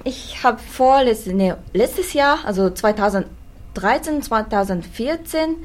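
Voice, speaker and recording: A woman's voice: fairly high pitch, expressive, takes it slowly. A young woman from Japan with a Japanese accent, an exchange student answering interview questions with hesitant fillers. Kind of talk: interview answers in learner German.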